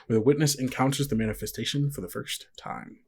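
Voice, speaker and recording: A man's voice: medium pitch, fast talker, vocal fry, husky. A man casually improvising as game master of a podcast tabletop game, thinking aloud and often putting questions to his player.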